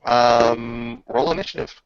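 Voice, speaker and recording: A man with a creaky voice narrating a tabletop roleplaying game as game master, halting and pausing mid-sentence over online voice chat. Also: medium pitch, monotone, no room sound. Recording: dull lo-fi voice chat.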